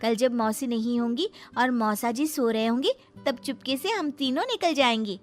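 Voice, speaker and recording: A woman's voice: fairly high pitch, expressive, normal speed, soft background music, close to an everyday voice. A woman voicing a bold, carefree young girl in a cartoon story, in a childlike manner. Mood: reassuring. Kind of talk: Hindi cartoon story acting.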